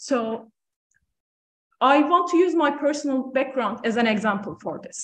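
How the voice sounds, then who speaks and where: A woman's voice: high-pitched, animated. Earnest woman in a roomy hall. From Turkey. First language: Turkish. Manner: measured, earnest, with frequent "so" and "uh". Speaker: panel speaker. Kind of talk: prepared talk at a panel event. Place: lecture room on stage, seated panel. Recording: echoey lecture room.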